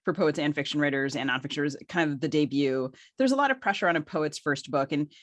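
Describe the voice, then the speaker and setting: A man's voice: a little high, animated, fast talker, dry recording. A man hosting a conversational interview, building his questions discursively as he thinks aloud. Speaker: podcast co-host, interviewer.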